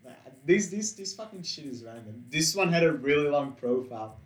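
A man's voice: fairly high pitch, lively intonation, moderate pace, distant mic. An eighteen-year-old man in casual, laid-back banter, swearing freely as he reads profiles aloud. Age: young adult. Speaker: co-host reading dating profiles. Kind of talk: casual chat. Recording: echoey room.

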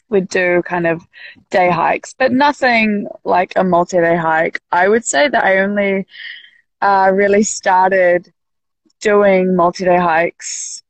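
A young New Zealand woman speaks over a video call, her voice dry and close with no room sound. She talks in a loose, halting, easygoing way and laughs easily, at a middle pitch. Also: dry recording, low-quality recording. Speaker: podcast guest. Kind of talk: casual interview.

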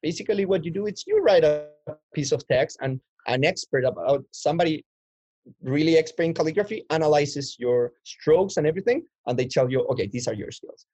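A man's voice: fairly high pitch, lively intonation, fairly quick, a little mellow, slightly rough, dry recording. A man in his twenties from Chile, telling his life story as an interview guest in a rambling way with many restarts. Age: 20s. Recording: dull lo-fi dry sound.

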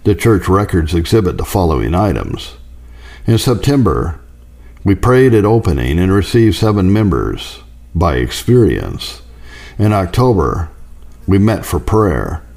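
A man reading church history aloud as an audiobook narrator, in a measured, formal manner. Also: sits low, very animated, vocal fry, darkish tone. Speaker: audiobook reader.

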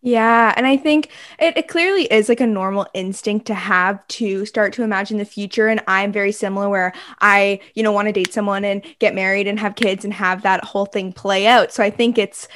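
A woman's voice: medium pitch, brisk, few pauses, a bit bright. A woman hosting a casual interview who reacts with enthusiasm and effusive praise. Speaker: podcast host.

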